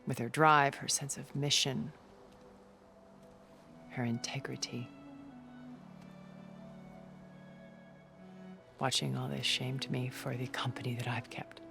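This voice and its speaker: Casting: a poised, elegant immortal woman in a story-driven game, low register, measured delivery. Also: flat delivery, gravelly, a bit bright, faint music behind, exaggerated voice. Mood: earnest, admiring. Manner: measured, elegant, genteel phrasing. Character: refined, immortal older woman, poised and persuasive. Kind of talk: cinematic video game acting.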